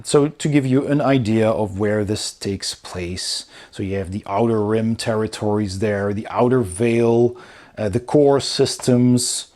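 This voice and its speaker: A man's voice: lowish voice, darkish tone. A man giving a casual, conversational walkthrough as a game reviewer.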